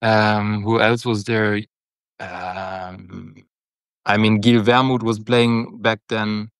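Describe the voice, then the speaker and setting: Low gravelly-voiced young man from Germany. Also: steady tone, takes it slowly, dry recording, clean studio sound. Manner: hesitant, many fillers and restarts. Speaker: interview guest, football captain. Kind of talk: casual interview chat.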